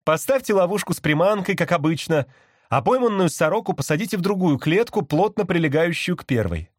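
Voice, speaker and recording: A man's voice: high-pitched, big pitch swings, a little fast, dry recording, studio quality, pitched above the performer's own voice. A man voicing a curt, irritable, grumbling man as an audiobook character. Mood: matter-of-fact. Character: gruff, irritable man, grudging and evasive.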